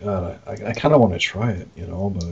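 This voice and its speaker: A man from Wisconsin with an American accent chats loosely on a podcast. His voice sits fairly low and sounds husky, and the audio is a bit muffled. He rambles informally and swears mildly. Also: fast talker, a little mellow. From Wisconsin, United States. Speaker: podcast co-host and arcade worker. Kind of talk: casual chat.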